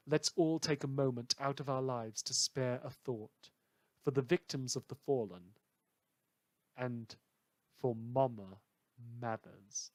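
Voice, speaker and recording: A man playing a brooding, dramatic host of a post-apocalyptic town radio show, delivering theatrical monologues with heavy pauses. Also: mid-range voice, dry recording, lightly acted. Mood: eerie, brooding. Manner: theatrical, dramatic pauses, swinging from hushed to loud. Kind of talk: fictional community radio broadcast monologue.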